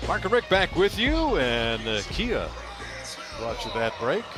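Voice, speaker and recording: A man's voice: a little high, big pitch swings, measured pace, over music. A man with an American accent doing live pregame commentary as a radio play-by-play announcer.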